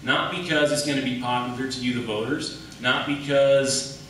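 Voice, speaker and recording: A man's voice: mid-range voice, steady tone, normal speed, sharp tone. A man giving an emphatic political debate speech as a candidate, driving points home with repetition and rhetorical questions. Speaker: candidate for county attorney. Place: large echoey room at a public debate. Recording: echoey room, distant mic, faint noise.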